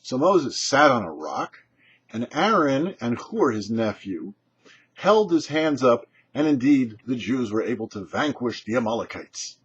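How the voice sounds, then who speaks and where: A man with a husky voice, giving a short scripted religious teaching as a rabbi in an emphatic, explanatory way. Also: mid-range voice, very animated, measured pace, fairly bright tone. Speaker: rabbi and show host. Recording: dull, muffled lo-fi audio.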